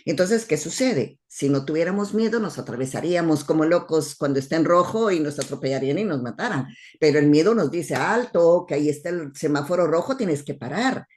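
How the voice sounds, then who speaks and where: A woman's voice: low voice, expressive, a little fast, a little mellow. A woman from Guatemala with a Guatemalan Spanish accent, hosting a casual conversation and often repeating words as she searches for them. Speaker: host.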